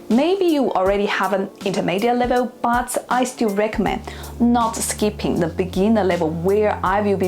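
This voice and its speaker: A woman, a Mandarin speaker, talks through a scripted lesson in a clear, steady, instructive manner. Her voice sits at an ordinary middle pitch for a woman, and faint music plays underneath. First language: Mandarin Chinese. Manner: clear, steady, instructive delivery with small slips. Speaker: language teacher and channel host. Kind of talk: scripted educational explainer.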